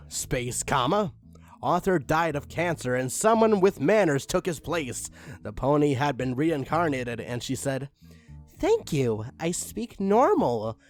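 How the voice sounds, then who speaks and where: A man reading a badly written story aloud with mocking deadpan asides and spoken punctuation, over faint music. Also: medium pitch. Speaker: reader of online stories. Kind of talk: comedic dramatic reading with commentary.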